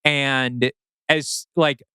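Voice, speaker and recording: A man co-hosting a podcast, casually critiquing a story and acting out imagined quotes in an animated way. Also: medium pitch, extremely slow, smooth, dry recording, clean studio sound.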